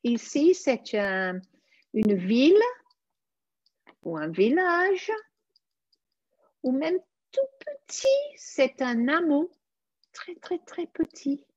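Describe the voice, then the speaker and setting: A woman teaching a live online French lesson, speaking slowly and deliberately and spelling out careful examples for her learners. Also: high-pitched, very animated, a little mellow, dry recording. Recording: dull, dry lo-fi stream audio.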